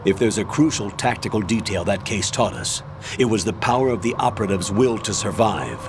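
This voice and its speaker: An actor playing a stern, authoritative older military colonel in a video game, commanding, measured and formal as he gives a mission briefing. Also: lowish voice, background noise, close to an everyday voice. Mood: firm, persuasive.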